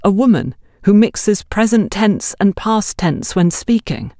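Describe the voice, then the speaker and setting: A stern shop supervisor, as a woman narrator plays her, speaks with sarcasm and accusation. Her voice is animated and dry-recorded, made for an audiobook drama. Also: medium pitch, measured pace, dry recording, pitched above the performer's own voice, close to an everyday voice. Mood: scornful, incredulous.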